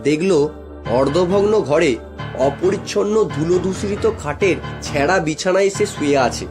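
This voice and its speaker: A man's voice: on the high side, unhurried. A man reading scripted narration as the storyteller of a horror cartoon tale. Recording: music bed behind voice.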